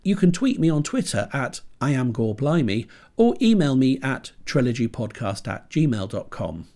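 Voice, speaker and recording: A man's voice: mid-range voice, very animated, moderate pace, warm tone. A British man hosting a horror film podcast with dry, self-deprecating wit, sounding stuffy from a cold. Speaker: podcast host. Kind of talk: scripted podcast monologue. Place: home computer desk.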